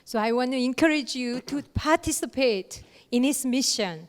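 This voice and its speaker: A woman giving a lecture in short, paused phrases with fillers, leaving room for an interpreter. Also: high-pitched, smooth. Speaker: guest lecturer. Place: lecture hall. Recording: long hall reverb.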